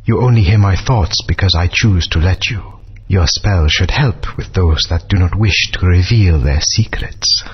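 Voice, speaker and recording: An actor playing an ancient, solemn male spirit who speaks in a measured way. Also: sits low, husky, heavily acted. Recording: dull lo-fi game audio.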